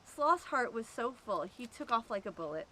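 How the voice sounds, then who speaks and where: Lady reading a kids book out loud, really lively pitch, bit of noise behind her. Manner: expressive, drawn-out storytelling with emphasis. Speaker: storyteller at an animal sanctuary. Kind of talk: reading a children's picture book aloud.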